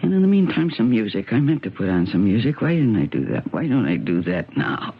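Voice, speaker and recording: An actress playing a despairing, self-mocking American wife and mother in a rambling radio drama monologue, with a low voice. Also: sing-song, a little fast, slight background noise, exaggerated voice. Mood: self-loathing, weary. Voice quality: low-pitched voice. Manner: rambling, wry, self-interrupting. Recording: tinny, band-limited 1970s radio recording.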